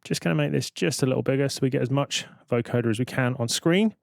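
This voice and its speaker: Man explaining software, close dry sound. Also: mid-range voice, quick pace, creaky, no room sound. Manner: explanatory, thinking aloud. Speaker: tutorial presenter. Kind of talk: informal software tutorial narration.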